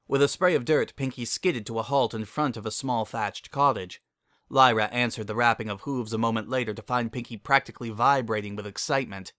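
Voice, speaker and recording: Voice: medium pitch, a bit bright, no room sound, clean studio sound. A narrator giving a scripted dramatic reading of a story.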